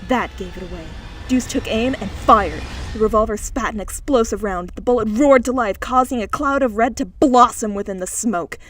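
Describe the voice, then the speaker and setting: A woman's voice: a little high, moderate pace, hardly any pauses, fairly bright tone, a little hiss or hum. A woman reading an audiobook, narrating scripted fiction in a dramatic style.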